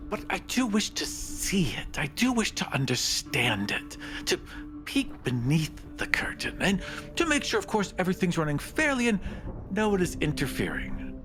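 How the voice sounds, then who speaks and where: A man acts out a small, idealistic fantasy dreamer who pleads his case with formal care. His pitch lifts and swings widely, dropping toward hushed, halting phrases. Music plays behind him. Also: big pitch swings. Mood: earnest, hushed. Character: earnest, idealistic small male would-be architect of fate. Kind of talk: fantasy audio roleplay.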